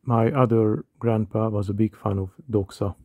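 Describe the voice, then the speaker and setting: A man in his thirties from Hungary with a Hungarian accent, calmly narrating a scripted voice-over about watches. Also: lowish voice, normal speed, no room sound, phone-quality sound. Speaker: watch collector.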